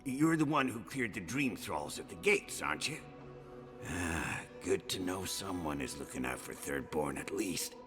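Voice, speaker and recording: Ailing old warrior, low-pitched, swooping delivery, rambling, weary, boastful, fantasy game, music behind. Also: male voice, lively intonation, measured pace. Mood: confiding, pleading. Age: older. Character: sick old braggart hero, wistful, weary. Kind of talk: fantasy video game acting.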